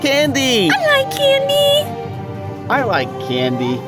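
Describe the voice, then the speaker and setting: A childlike patient with a squeaky, extremely high voice that swoops wildly. The delivery is giddy, repetitive and sing-song, in horror audio drama style, with loud music underneath. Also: wildly swooping pitch, takes it slowly, full character voice. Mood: giddy, craving. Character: childlike patient giddily begging for candy.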